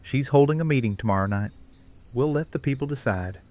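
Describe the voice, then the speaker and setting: An actor playing a weary, troubled but reasonable man in an audio drama, speaking in a measured, serious way. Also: mid-range voice, lively intonation, a little mellow, natural voice.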